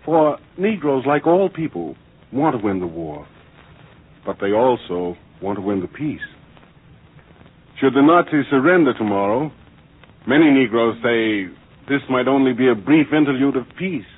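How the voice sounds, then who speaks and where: A male radio narrator with a husky, mid-pitched voice. He reads in a formal, declamatory 1940s style. The recording is narrow and dull, like an old broadcast. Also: expressive, moderate pace.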